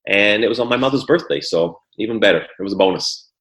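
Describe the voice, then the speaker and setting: A man with a very low voice answers interview questions in a relaxed, unhurried way. He is middle-aged, from Guyana and raised in England, and restarts his sentences with repeated words. The room gives his voice a roomy echo. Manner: easygoing, repeats words when starting sentences. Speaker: former Olympic hurdler and trainer, guest. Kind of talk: conversational interview. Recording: echoey room.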